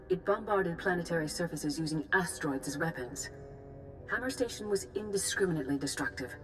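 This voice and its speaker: A woman playing a composed, authoritative Jedi master in a video game, speaking in a measured, formal and grave way. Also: deep voice, close to an everyday voice, poor audio. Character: composed, authoritative female Jedi master giving mission briefings. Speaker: military commander briefing volunteers. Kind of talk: formal scripted game dialogue.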